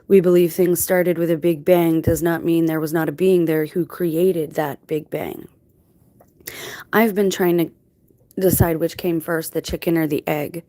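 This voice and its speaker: Female channel host, lowish pitch, informal rambling monologue, reflective and explanatory. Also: warm tone. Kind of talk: casual rambling monologue to viewers.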